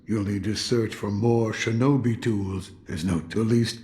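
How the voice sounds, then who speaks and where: An actor playing a weary, gruff male mentor in a video game, speaking in a solemn, measured, gravelly voice. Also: fairly low pitch, fairly even intonation, darkish tone, slight background noise, close to an everyday voice. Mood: solemn, calm. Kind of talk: scripted video game voice acting.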